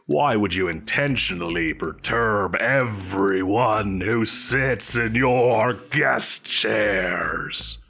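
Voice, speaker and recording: An actor playing a pompous, petulant supervillain boss in an audio roleplay, blustering theatrically and defensively. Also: male voice, on the low side, drawn-out words, vocal fry, few pauses, sharp tone, slightly rough, heavily acted. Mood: grudging, exasperated. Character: pompous, petulant, evasive male supervillain employer. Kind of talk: scripted audio roleplay addressed to a listener.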